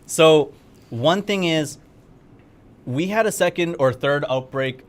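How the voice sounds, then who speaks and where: A young man co-hosting a live game event stream, upbeat, talkative and easily excited. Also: on the high side, slow pace. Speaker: game community manager. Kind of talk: casual livestream co-hosting. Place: studio in Korea.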